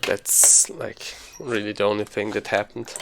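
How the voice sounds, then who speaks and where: A man's voice: on the low side, flat delivery, measured pace, gravelly, background noise. A man giving casual live commentary as he streams a speedrun, informally thinking aloud while he plays.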